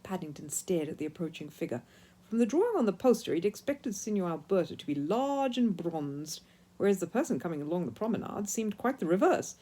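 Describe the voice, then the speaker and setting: A woman's voice: fairly low pitch, big pitch swings, a little fast, mellow. A woman narrating a children's story expressively, as a storyteller reading from a book.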